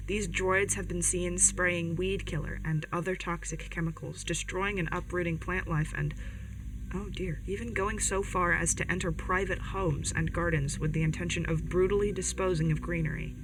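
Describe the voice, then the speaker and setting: A woman's voice: lowish voice, steady tone, moderate pace, lightly acted, rough recording. A woman playing an earnest, caring older sibling who hosts a community radio show in an audio drama, chatty and a little hesitant. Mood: worried, urgent. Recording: music bed behind the voice.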